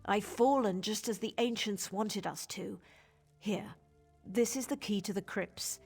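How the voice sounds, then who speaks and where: A woman playing a starved young female vampire in a video game, husky and pleading, with dramatic intensity. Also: medium pitch, unhurried, a little mellow, faint music behind. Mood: remorseful. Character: young female vampire, starved, guilt-ridden and desperate for blood.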